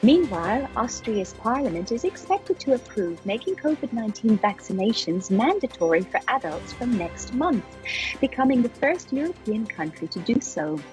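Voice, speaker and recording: A woman presenting the news on a live stream, reading in a measured, even way. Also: a little high, faint music behind, poor audio.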